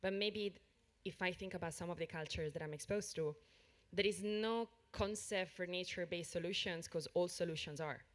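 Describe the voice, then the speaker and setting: A woman's voice: lowish voice, moderate pace, hardly any pauses. A woman speaking as a panelist. She muses on ideas in a tentative, exploratory way. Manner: tentative, musing. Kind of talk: panel discussion.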